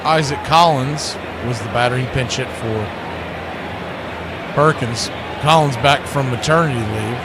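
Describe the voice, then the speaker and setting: A man's voice: medium pitch, unhurried, heavy background noise. A man calling live baseball play-by-play, conversational, with clipped calls and wry asides. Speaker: play-by-play radio announcer.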